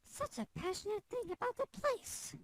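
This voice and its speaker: A woman voicing a gushing, excitable cartoon critter. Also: very high voice, moderate pace, no echo, poor audio. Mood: excited.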